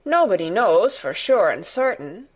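A woman's voice: mid-range voice, very animated, measured pace. A woman voicing an agitated, plain-spoken young housemaid, exclaiming in dialect as in a storybook. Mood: confiding.

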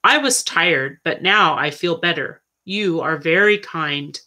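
A woman's voice: deep voice, unhurried, sharp tone. A woman voicing a proud, earnest young medieval boy in a storybook, speaking emphatically.

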